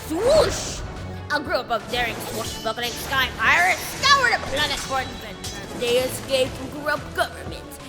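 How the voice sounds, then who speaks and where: A woman voicing a silly, excitable young female bunny in a cartoon comedy, playful, theatrical and flighty, full of imagination. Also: high-pitched, measured pace, over a noisy crowd, exaggerated voice. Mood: excited, theatrical. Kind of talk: animated visual novel comedy dialogue. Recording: music behind the voice.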